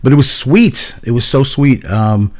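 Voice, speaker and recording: A man co-hosting a podcast, telling rambling stories in a hoarse voice, swearing freely and laughing often. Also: mid-range voice, expressive, a little slow, a little mellow. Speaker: podcast co-host. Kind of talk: casual chat.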